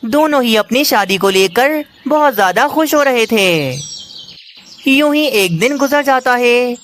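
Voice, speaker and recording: Casting: female children's story narrator, high voice, scripted delivery, birdsong behind. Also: unhurried, slight background noise.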